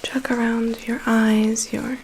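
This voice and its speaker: A woman playing a calm, prying vampire's assistant in an ASMR roleplay, murmuring dry asides and reassurance in a breathy whisper. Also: a little high, takes it slowly, slight background noise. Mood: calm, wry. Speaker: ASMR performer. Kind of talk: ASMR roleplay, personal attention.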